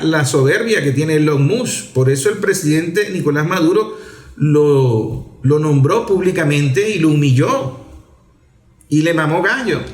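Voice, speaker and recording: A man with a Venezuelan accent giving political commentary in an emphatic, rhetorical way, pausing deliberately between phrases. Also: a little high. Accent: Venezuelan Spanish. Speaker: political commentator on an online program. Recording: echoey, reverberant room.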